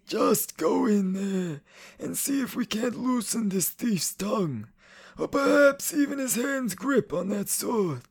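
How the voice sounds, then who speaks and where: A man playing a stern, commanding chief of officers in a radio drama, formal and deliberate, with a husky, creaky voice. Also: high voice, expressive, voice pushed up, heavily acted. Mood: measured, sly.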